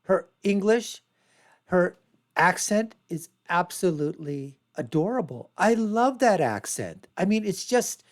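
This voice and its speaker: A woman's voice: fairly low pitch, sing-song, unhurried, a little mellow. An American-born woman hosting a panel discussion, talking emphatically and sometimes mimicking what others say. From United States. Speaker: show host. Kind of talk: opinionated panel talk.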